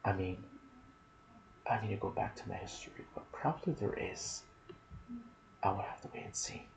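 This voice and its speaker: A soft-spoken man narrating video game lore in an ASMR style, with a hushed, deliberate delivery in short phrases. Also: fairly low pitch. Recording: clear background noise.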